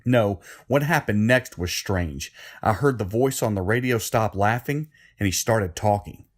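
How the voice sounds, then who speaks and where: An actor playing a troubled condemned killer who confesses in a plain-spoken, easily worked-up way, in a horror audio drama. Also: male voice, on the low side, a little slow. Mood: haunted recollection.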